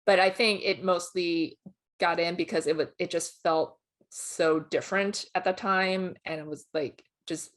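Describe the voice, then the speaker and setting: A woman's voice: fairly low pitch, steady tone, unhurried. A woman co-hosting a casual film discussion, giving her opinions bluntly in an informal way. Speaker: co-host, film awards expert. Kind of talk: casual chat, film commentary.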